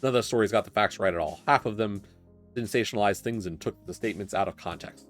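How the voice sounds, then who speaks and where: A man's voice: fairly low pitch, fast talker, faint music behind, lightly acted. A man voicing an earnest, exasperated dog politician in a visual novel, indignant and complaining.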